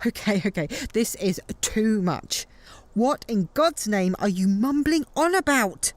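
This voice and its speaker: A woman's voice: mid-range voice, animated, measured pace, dry recording, close to an everyday voice. An actress playing a sardonic, exasperated, no-nonsense woman in an audio drama, blunt and sarcastic. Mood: impatient. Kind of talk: naturalistic fan audio drama acting.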